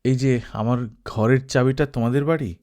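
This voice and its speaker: A man voicing a grieving young man of twenty-one in an audiobook, speaking in a quiet, polite way. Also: mid-range voice, steady tone, normal speed, natural voice. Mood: subdued.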